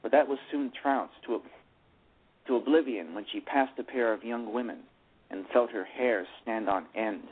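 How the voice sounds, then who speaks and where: A man's voice: mid-range voice, normal speed, slight background noise. A man narrating a story, reading aloud and often stumbling over and repeating words. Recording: tinny phone line with faint music.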